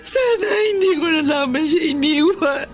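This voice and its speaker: Emotional high-voiced Filipino-drama mother. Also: lively intonation, moderate pace. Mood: anguished. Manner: emotional, pleading. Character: anguished, stubborn mother, guilty and regretful. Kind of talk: radio drama acting. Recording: music under the voice.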